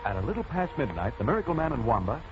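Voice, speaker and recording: An actor playing a brisk, confident, teasing young sleuth in an old radio drama. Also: male voice, medium pitch, sing-song, natural voice. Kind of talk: 1940s radio acting. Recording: noisy muffled old radio recording.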